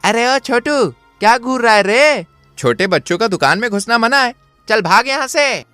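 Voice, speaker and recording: A woman's voice: a little high, expressive, normal speed, fairly bright tone, dry recording, soft background music. A woman voicing a bossy male shop servant in a cartoon story. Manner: bossy, shooing.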